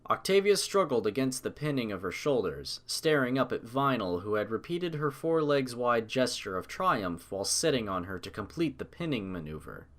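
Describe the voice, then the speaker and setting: Animated story narrator with lively intonation. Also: medium pitch.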